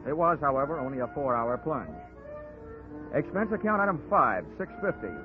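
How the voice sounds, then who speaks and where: An actor playing a cynical, wisecracking private investigator in a 1940s radio drama, with a clipped, slangy, sardonic delivery. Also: male voice, on the high side, big pitch swings, normal speed. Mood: dry, narrating. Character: wisecracking hard-boiled private insurance investigator, cynical man. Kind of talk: 1940s radio detective acting and narration. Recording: muffled 1940s radio broadcast, music.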